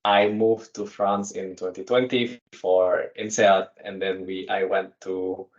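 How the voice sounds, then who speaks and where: A man from Indonesia, in his thirties, talks as a podcast guest in an office meeting room. His voice sits fairly low and he speaks in quick, chatty bursts full of fillers and restarts. The sound is dull and lo-fi. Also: flat delivery. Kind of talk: casual conversational interview.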